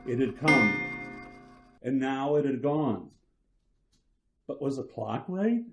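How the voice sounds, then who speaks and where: A man narrating a scripted suspense radio drama in a deliberate, dramatic way. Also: medium pitch, animated, rough recording. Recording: music behind the narration.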